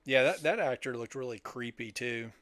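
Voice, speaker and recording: An older man with a mid-pitched voice chats casually as a podcast co-host. The sound is dry and close, and he talks like someone among friends discussing old movies. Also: moderate pace, fairly bright tone, no echo. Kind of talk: casual group chat about old films.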